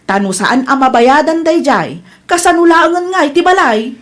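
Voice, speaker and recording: Fretful, exasperated businesswoman and wife , woman actor, Ilocano accent, notably high voice, amateur drama style. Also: lively intonation, moderate pace. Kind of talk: amateur audio drama acting.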